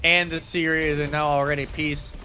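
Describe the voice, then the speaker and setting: A man giving live analytical esports commentary in a casual, conversational, somewhat halting way. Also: fairly high pitch, flat delivery, bright, forceful tone.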